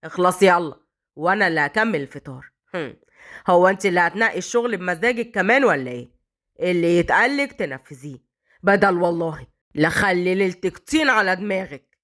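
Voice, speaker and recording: A woman's voice: fairly low pitch, lively intonation, quick pace, a bit bright, voice pushed down. A woman doing a harsh, bossy old mother-in-law. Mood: scolding. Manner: bossy, scolding.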